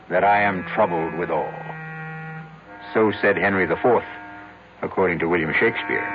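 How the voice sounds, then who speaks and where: Low measured dramatic guy hosting an old radio play, tinny narrowband, music behind. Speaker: host of radio drama series. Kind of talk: theatrical scripted narration, old radio. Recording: phone-like old radio recording.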